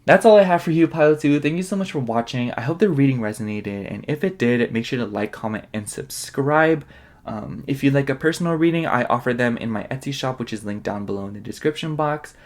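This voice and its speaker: A man's voice: mid-range voice, a little hiss or hum. Chatty sniffly man reading tarot. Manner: chatty, rambling, heavy on fillers. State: sniffly and nasal. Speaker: tarot reader. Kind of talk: casual pick-a-card tarot reading.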